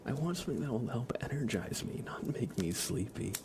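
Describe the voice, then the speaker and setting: A man hosting an ASMR livestream, chatting softly and casually in a hushed, unhurried way that often slips into whispering. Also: medium pitch, sing-song, noisy background. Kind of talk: soft ASMR livestream chat.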